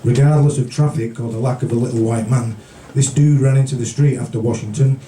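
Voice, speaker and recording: A man from the British Isles reading poetry live at a café event in a measured storytelling manner, with brief casual asides. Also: mid-range voice, steady tone, dark tone. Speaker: featured poet. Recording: roomy, distant, noisy live recording.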